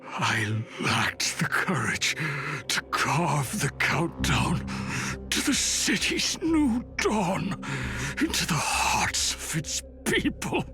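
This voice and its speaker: A woman playing a grave, regretful master burdened by secrets in a video game, solemn and halting, with dramatic emphasis. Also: sits low, big pitch swings, heavily acted. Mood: remorseful. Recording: music clearly behind the voice. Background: game music.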